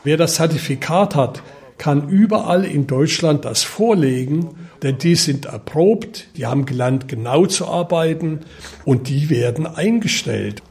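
A man's voice: a little high, expressive, a little slow, darkish tone, slightly rough. A man who runs a training workshop, explaining in an interview, orderly and matter-of-fact. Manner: explanatory, listing things in an orderly way. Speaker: head of the refugee workshop.

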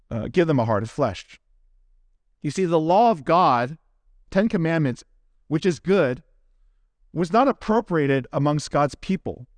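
A man preaching an expository sermon in an earnest, teacherly way, phrasing deliberately and posing rhetorical questions. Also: sits high, no room sound. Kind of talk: expository sermon delivered from prepared notes.

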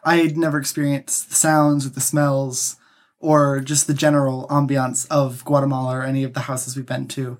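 A man's voice: mid-range voice, level tone, normal speed. Young male guest, easygoing casual talk. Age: young adult. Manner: easygoing, frequent "yeah" and "mm-hmm". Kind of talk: casual interview chat.

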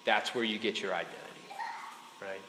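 A man's voice: on the low side, fairly even intonation, normal speed, pushed and bright, slight background noise. Chatty preacher man in an echoey hall. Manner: chatty, keeps checking in with "right?" and "okay?"; joking asides to the crowd. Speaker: speaker addressing students. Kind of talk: casual conversational sermon. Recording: echoey hall with faint room ambience.

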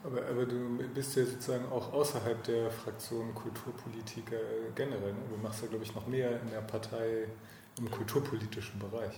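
A man's voice: mid-range voice, little pitch movement, fairly quick, warm tone. A man asking questions as an interviewer in a casual, conversational style. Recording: roomy, echoing, clearly noisy background.

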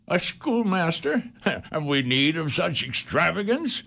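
An actor playing a stern, scornful old farmer who scolds and blusters in a community radio drama. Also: male voice, a little high, big pitch swings, slow pace, vocal fry, bright, forceful tone, full character voice.

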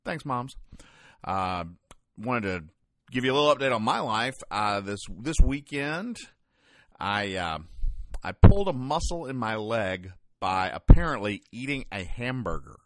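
A man hosting a podcast, giving casual, wry comedic commentary in a deadpan way. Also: mid-range voice, a little slow, no room sound. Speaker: podcast host. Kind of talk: casual comedic commentary, explaining comic strips.

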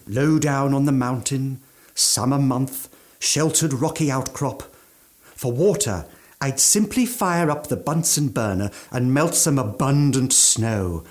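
An actor playing a genial, boastful British chef in the style of an old radio play, with effusive, gentlemanly phrasing. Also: male voice, mid-range voice. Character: genial, boastful gourmand chef, former mountaineer, now legless.

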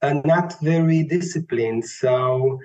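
Brief: Romanian-accented male tenor, 30s, unhurried and searching for words, muffled audio. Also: a little high, warm tone. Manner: slow, thoughtful, searching for words. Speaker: classical tenor, guest. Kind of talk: reflective interview answers. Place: Vienna. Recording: dull lo-fi sound, faint music.

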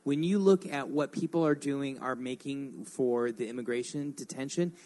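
A man's voice: mid-range voice, moderate pace, mellow. A man making emphatic, argumentative points step by step in an opinionated radio discussion. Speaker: radio program regular.

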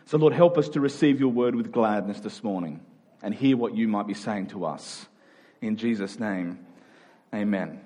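An Australian man speaking as a pastor in church, with measured, deliberate phrasing as he preaches, reads scripture and leads the congregation. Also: lowish voice, dark tone. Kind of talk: sermon with scripture reading and prayer.

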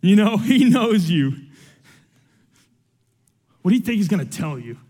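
Young man preacher, earnest, emotional, conversational; slightly higher voice. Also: sing-song, measured pace, dark tone, in a large hall. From Cuba. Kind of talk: sermon with personal testimony.

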